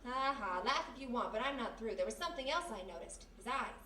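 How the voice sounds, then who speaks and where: In a roomy live space with faint noise, an actress plays a spirited girl sidekick from a radio mystery. Her voice is high and quick, with wisecracking nerve. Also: expressive, pushed and bright, far from the mic. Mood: earnest, insistent. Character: plucky, quick-witted young female detective's sidekick. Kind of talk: live old-time radio mystery acting.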